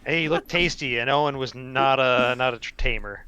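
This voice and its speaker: Very bright-voiced man in casual chat. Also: mid-range voice, normal speed.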